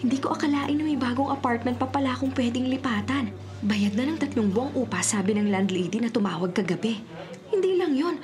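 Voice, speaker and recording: A woman's voice: a little high, lively intonation, fairly quick, natural voice. An actress playing a cunning female forger who is posing as someone else, acting and narrating in a Filipino radio drama. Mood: gleeful. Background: dramatic music.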